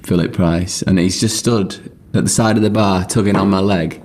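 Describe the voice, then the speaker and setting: A man's voice: low voice, fairly even intonation, normal speed, a little mellow. A man telling a chatty anecdote in a recorded clip. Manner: chatty, laughing storyteller. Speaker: interviewee in a played clip.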